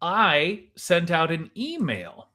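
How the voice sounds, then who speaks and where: A man's voice: a little high, wildly swooping pitch, slow pace, pushed and bright. A man co-hosting a podcast, talking in a casual, conversational style. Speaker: podcast co-host. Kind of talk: casual chat.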